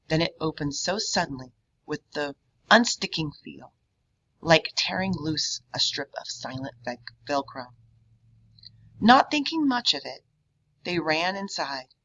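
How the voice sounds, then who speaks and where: A woman reads a children's story aloud with expression. Her voice sits low but has a bright, forceful edge, and the recording is slightly dull and lo-fi. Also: slight background noise. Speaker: read-aloud reader. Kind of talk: children's book read-aloud.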